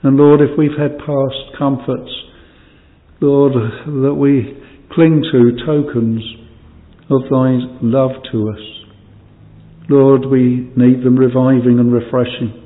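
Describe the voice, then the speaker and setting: A man prays slowly and solemnly in old-fashioned language, his voice level and flat, phrases fading to a hush. The sound is narrow and phone-like, with a long hall reverb behind him. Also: medium pitch. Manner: solemn, archaic "thou" phrasing, pleading; voice falls away quietly at phrase ends. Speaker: minister leading prayer. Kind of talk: extempore congregational prayer.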